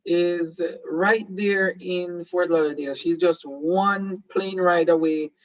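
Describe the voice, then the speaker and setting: A Jamaican man whose first language is Patois hosts an online seminar in a slightly high voice. He talks hesitantly, full of ums and restarts. The sound is dry and a little muffled. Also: steady tone, slow pace, no room sound. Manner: hesitant, many fillers and restarts. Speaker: host.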